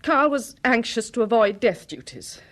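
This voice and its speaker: A woman's voice: fairly high pitch, very animated, normal speed, fairly bright tone. An actress in a radio drama playing a distressed, genteel married woman with a hesitant, polite manner. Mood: troubled, defensive.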